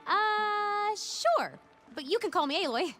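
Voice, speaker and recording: A woman playing a spirited young outcast huntress in an animated game, curious, plucky and wry. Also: very high-pitched, slow, faint music behind, full character voice. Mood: cheerful. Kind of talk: animated game cutscene dialogue.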